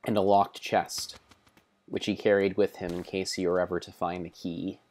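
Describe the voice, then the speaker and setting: A man narrating video game play as a solemn, theatrical storybook tale, in measured phrases. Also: sits low, flat delivery, a little mellow. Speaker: streamer narrating own gameplay.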